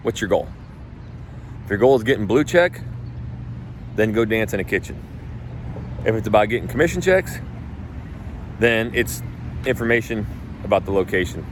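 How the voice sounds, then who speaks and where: A man's voice: lowish voice, expressive, moderate pace, pauses often, a little hiss or hum. A man from North Idaho who coaches real estate agents, talking casually in a blunt, emphatic way and swearing freely. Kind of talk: casual talk.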